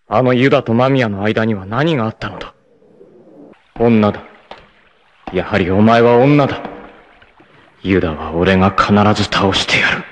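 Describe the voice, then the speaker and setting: A man's voice: lowish voice, natural voice. A man voicing a proud, stoic young male martial artist who is dying, in 1980s TV anime style, speaking in grave, solemn declarations with sudden anguished outbursts. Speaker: voice actor. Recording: old TV anime audio with hiss.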